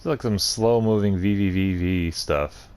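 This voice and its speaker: A man's voice: low voice, normal speed, a little mellow, clean tone. A man hosting a gaming video, giving casual playthrough commentary and muttering reactions as he plays.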